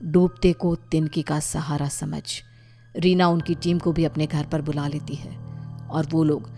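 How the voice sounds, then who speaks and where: A woman narrator reads a scripted story. Her voice is low and stays even, with little movement in pitch. Music plays softly underneath. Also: a little slow. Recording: music behind the voice.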